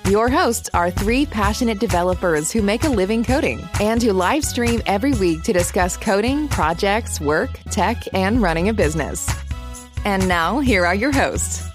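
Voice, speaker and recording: Woman announcing over loud music. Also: medium pitch, lively intonation, moderate pace. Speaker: show announcer. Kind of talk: scripted intro narration.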